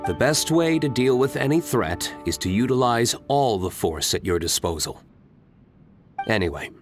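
A brooding young nobleman from a fantasy game. He speaks in a low register with expressive swings, composed, formal and self-assured, with music playing behind him. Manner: composed, formal, measured delivery. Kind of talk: video game character dialogue. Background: background music.

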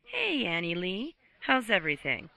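An actress playing an unflappable, folksy emergency dispatcher in a radio play, familiar and wry. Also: female voice, medium pitch, huge pitch swings, normal speed, gravelly, sharp tone, dry recording, heavily acted. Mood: businesslike, friendly.

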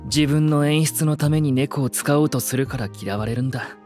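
A man's voice: a little high, normal speed, darkish tone, faint music behind, lightly acted. A male actor playing a stern, aloof teenage boy idol in a Japanese audio drama, speaking formally and haughtily. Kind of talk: Japanese anime-style audio drama acting.

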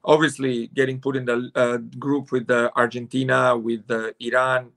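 A man's voice: medium pitch, flat delivery, moderate pace, creaky, fairly bright tone. A man in his forties from Serbia with a Serbian accent, a futsal coach speaking hesitantly with many fillers on a video call from home. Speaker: futsal head coach, guest. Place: room at home with curtains.

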